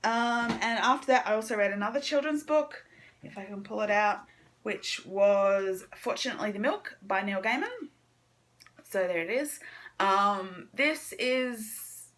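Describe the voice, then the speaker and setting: A woman's voice: a little high, a little slow, a bit bright. A woman giving a casual book vlog chat, informal and hesitant as she thinks aloud. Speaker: book vlogger. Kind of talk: casual monthly reading wrap-up chat.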